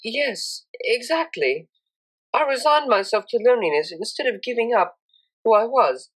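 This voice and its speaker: Refined young pony mare, played by a woman, storybook cellist, polite, earnest, proper diction, very expressive pitch. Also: moderate pace, husky, low-quality recording. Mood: earnest, joyful. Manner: formal, precise, proper wording.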